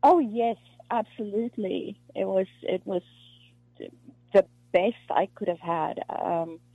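A woman from South Africa, an actress being interviewed, talking in a halting way with many restarts and fillers. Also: mid-range voice, slightly rough, no room sound. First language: Afrikaans. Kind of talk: casual interview conversation. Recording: muffled lo-fi dry recording.

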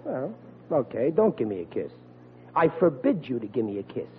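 An actor playing a blustering, soft-hearted American family father in a 1940s radio comedy. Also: medium pitch, sing-song, moderate pace, slight background noise. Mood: coaxing, sulky. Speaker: sitcom lead actor. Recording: narrow-band vintage radio recording.

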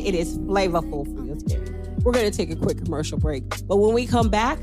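A woman hosting a podcast interview, effusive and full of praise for her guests. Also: mid-range voice. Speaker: podcast host.

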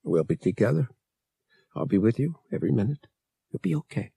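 A male reader voices a roguish young man in an audiobook, husky and lively in pitch. He is tender and coaxing, with impatience pressing under the reassurance. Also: brisk, no room sound, clean studio sound, exaggerated voice. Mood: reassuring. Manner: gentle, urgent, coaxing. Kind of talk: audiobook character dialogue.

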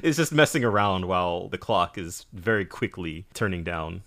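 A man co-hosting a casual podcast, enthusiastic and quick to laugh. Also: low-pitched, animated, fairly bright tone. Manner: enthusiastic, laughs often, does goofy quote voices. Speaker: podcast co-host.

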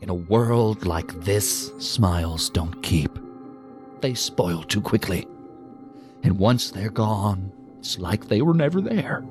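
An actor playing a menacing, talkative intruder with dark sardonic humour in an audio drama, taunting and rambling as he goes. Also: fairly low pitch, slow. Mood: sardonic menace. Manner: taunting, rambling, deadpan-joking. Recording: music under the voice.

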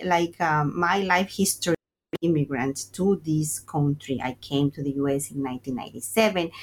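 Low-voiced woman sociologist, chatty. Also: slightly rough. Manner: thoughtful, uses fillers like "like" and "um". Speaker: guest sociologist. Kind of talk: conversational interview.

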